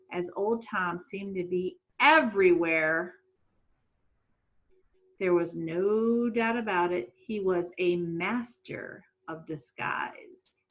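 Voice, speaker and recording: A middle-aged woman, a teacher doing an expressive storytime for children and putting questions to young listeners. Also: mid-range voice, low-quality recording. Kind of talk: read-aloud storytime for children. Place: small room at home.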